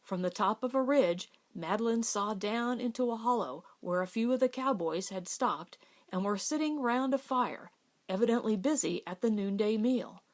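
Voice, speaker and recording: A woman's voice: fairly high pitch, moderate pace. A woman reading a novel aloud as an audiobook narrator. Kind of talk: scripted literary narration.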